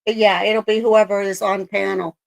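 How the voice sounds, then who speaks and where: Woman host, hoarse and gravelly, loose rambling live-stream chatter. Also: medium pitch, level tone, moderate pace. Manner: rambling, many ums and likes. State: raspy from a coughing spell. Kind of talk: casual live-stream chat.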